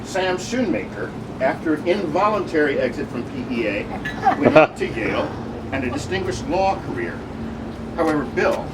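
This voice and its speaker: A man's voice: fairly high pitch, sing-song, normal speed. A man reading a humorous tribute speech at a celebration, with wry, joking asides. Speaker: speech reader at a birthday celebration. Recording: distant mic, loud room noise.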